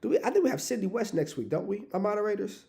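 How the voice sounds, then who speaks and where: A man hosts a livestream while nursing a cold, so his voice comes out raspy and stuffy. He sits a little high in pitch, with a mellow, dark tone, and keeps things upbeat, calling on the chat in a casual way. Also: big pitch swings, rapid-fire. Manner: upbeat, hypes the chat, says "y'all". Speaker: livestream host.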